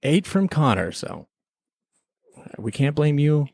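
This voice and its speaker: A man's voice: fairly high pitch, big pitch swings, takes it slowly, gravelly, darkish tone, slightly rough, no echo. Mock-formal male podcast host, dry sound. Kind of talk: semi-scripted mock-tribunal podcast banter.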